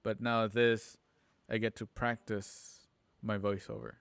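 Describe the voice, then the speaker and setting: A man's voice: fairly low pitch, little pitch movement, unhurried, creaky, a bit bright, smooth, no echo. Earnest man in casual conversation. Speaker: film editor and aspiring voice actor. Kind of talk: casual group chat.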